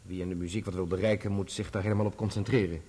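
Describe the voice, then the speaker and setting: A man's voice: low-pitched, fairly even intonation, racing, dark tone, breathy edge. A man acting in a radio play as a dignified musician son giving testimony, earnest, restrained and a little defensive.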